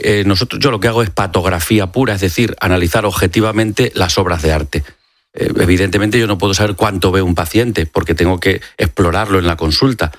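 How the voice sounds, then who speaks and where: A man with a Spanish accent from Spain speaks in a lowish voice as an expert guest. He explains in a courteous, conversational way, in a dry radio studio. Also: no room sound. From Extremadura, Spain. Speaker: interview guest, doctor and ophthalmology professor.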